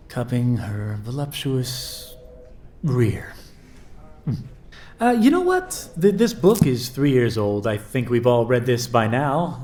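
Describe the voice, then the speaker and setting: A man playing a timid, bashful novelist with a halting delivery in a comedic audio drama. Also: mid-range voice, dark tone, slight background noise. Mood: awkward, halting.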